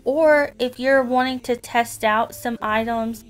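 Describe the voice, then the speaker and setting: A woman's voice: on the high side, fairly even intonation, takes it slowly. A woman in her thirties with a Southern US accent, chatting casually about her shopping haul in a parked car. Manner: chatty, friendly, addresses viewers as y'all. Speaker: vlogger. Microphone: camera on dashboard.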